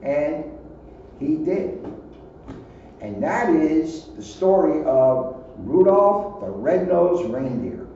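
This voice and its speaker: Male storybook reader, expressive, higher-pitched, recorded distant in a reverberant space. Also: drawn-out words. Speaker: police officer reading a children's story. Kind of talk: expressive storybook read-aloud. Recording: echoey, distant, muffled, faint noise.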